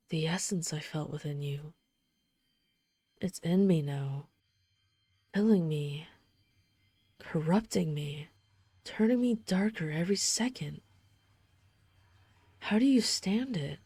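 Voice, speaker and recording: A woman playing a tormented, guilt-ridden vampire hunter in an intimate ASMR audio drama, halting with dramatic pauses. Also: on the low side, a little mellow. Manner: slow, halting, dramatic pauses, breathy sighs.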